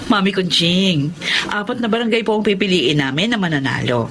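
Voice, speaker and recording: A woman's voice: medium pitch, animated, brisk, fairly bright tone, slight background noise. An actress playing a kind, civic-minded married woman in a Tagalog radio drama, gracious and earnest. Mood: earnest, modest.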